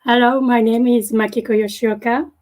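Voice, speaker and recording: A woman from Japan with a Japanese accent, a native Japanese speaker, giving a halting presentation over a video call with many fillers and restarts. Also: on the high side, fairly even intonation, a little mellow. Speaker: guest presenter.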